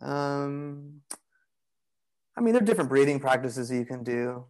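A man speaking as a guest on a podcast, in a voice of middling pitch. He talks in a loose, meandering way, leaning on "you know" and "like" as he works through his thoughts. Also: unhurried. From Greek heritage. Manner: relaxed, rambling, many "you know" and "like" fillers. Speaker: podcast guest, bodywork practitioner. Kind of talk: casual conversational interview.

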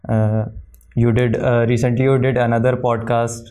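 A man's voice: medium pitch, level tone, normal speed, warm tone. A man hosting a podcast, introducing his guest and asking questions in an admiring way with some fillers. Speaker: podcast host. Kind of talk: interview host introduction and questions.

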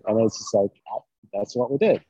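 A man's voice: medium pitch, very animated, measured pace, lo-fi sound, noisy background. A man from central Iowa, a private chef and podcast guest, telling his story in an easygoing, casual way. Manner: easygoing storyteller, many ums and likes. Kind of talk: casual interview chat.

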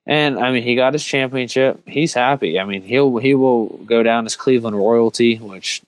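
A man's voice: mid-range voice, moderate pace, no echo, poor audio. A man on a sports talk panel giving earnest, opinionated takes in casual discussion.

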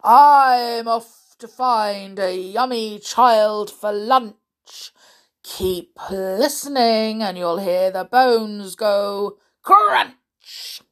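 A woman voicing a huge, greedy, wicked male crocodile in a storybook, boastful and savouring the words slowly. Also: mid-range voice, fairly bright tone, voice pushed up. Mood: sly, menacing.

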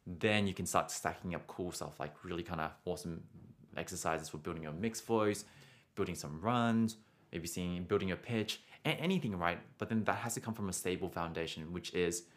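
A man's voice: sits low, fairly bright tone. A young man teaching singing on a live stream, answering viewer questions casually and often adding "right?". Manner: casual, encouraging, frequent "right?" tags.

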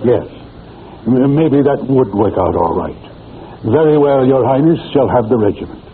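An actor playing a stuffy, scheming royal count, formal and condescending, in an old-time radio comedy. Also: male voice, medium pitch, lively intonation, moderate pace, lightly acted. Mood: evasive. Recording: noisy, tinny old radio recording.

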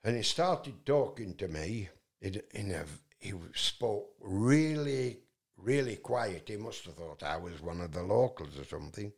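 A man's voice: on the low side, animated, a little slow, gravelly. A man from England, telling a long, rambling story as a guest and often restarting with fillers. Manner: loud voice, many fillers and restarts.

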